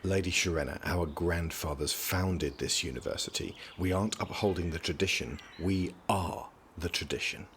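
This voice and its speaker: An actor playing a dry, sardonic young prince in an audio drama, speaking formally with deadpan wit. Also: male voice, sits low, moderate pace, few pauses, slight background noise. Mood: dry, teasing.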